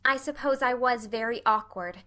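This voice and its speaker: Storybook orphan girl, played by a woman: high, bright, wordy and dramatic, earnest and pleading. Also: expressive, normal speed. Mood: apologetic, chatty. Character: earnest, imploring, dreamy young orphan girl, talkative. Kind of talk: audiobook character voice.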